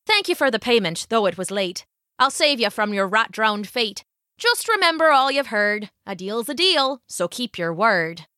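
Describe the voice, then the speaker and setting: A woman's voice: on the high side, sing-song, moderate pace, bright, forceful tone, no room sound, studio quality. A woman voicing a jaunty male piper, a wandering storybook trickster who speaks in sing-song rhyme. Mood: satisfied, warning. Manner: sing-song rhyming delivery.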